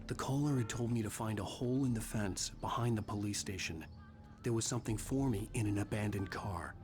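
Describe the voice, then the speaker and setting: An actor playing a troubled, anxious writer in a video game, speaking in a terse, grave way. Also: male voice, lowish voice, fairly quick, close to an everyday voice. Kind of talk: video game dialogue and scripted narration.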